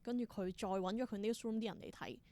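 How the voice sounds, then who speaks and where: A woman's voice: mid-range voice, steady tone, fast, dark tone, no echo. Hong Kong Cantonese woman, explanatory interview guest. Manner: explanatory, mixes English terms into Cantonese. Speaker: interview guest, theatre performer. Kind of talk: conversational interview answers.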